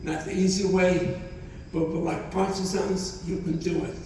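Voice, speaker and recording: Brief: elderly man in his 90s, non-native English, halting and repetitive, a mellow low voice picked up from far off in a boomy hall. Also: level tone, a little hiss or hum. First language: not English. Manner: halting, repeats phrases, speaks with feeling. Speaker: Holocaust survivor speaker. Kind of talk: testimony to students. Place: college hall.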